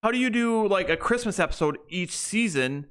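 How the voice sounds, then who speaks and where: A man's voice: high-pitched, lively intonation, normal speed, fairly bright tone, smooth. A young man co-hosting a podcast, chatting casually and rambling with many restarts and fillers. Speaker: podcast co-host. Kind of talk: casual chat.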